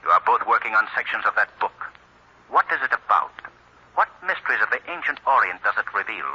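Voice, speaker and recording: An actor playing an invisible, coolly menacing avenger in an old radio mystery, speaking with formal, deliberate, mocking authority. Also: male voice, fairly low pitch, very animated, breathy edge. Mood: accusing, mocking. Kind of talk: 1940s radio mystery acting. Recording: dull, muffled old radio recording.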